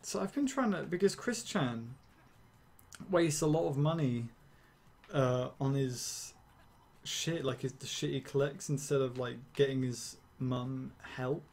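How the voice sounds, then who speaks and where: Gravelly young man ranting. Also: medium pitch, darkish tone. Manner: ranting, rambling, swearing, quick laughs. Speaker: streamer. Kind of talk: casual gameplay commentary.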